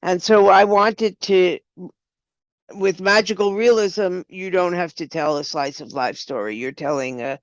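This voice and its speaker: Older lady w a low clear smooth voice, chatting as an interview guest, dry kinda dull audio. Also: measured pace, no room sound. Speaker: guest, writer and director. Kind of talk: conversational interview answers.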